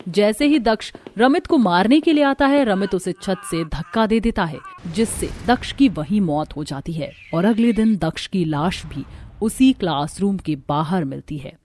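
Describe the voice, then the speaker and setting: A woman narrator reading a scripted animated horror story. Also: medium pitch, normal speed, slight background noise.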